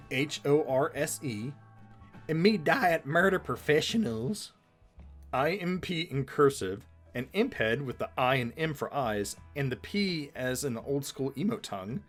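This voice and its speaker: A man co-hosting a conversational commentary on an animated episode, with an animated, bantering delivery. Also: fairly high pitch. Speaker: co-host of fan commentary video.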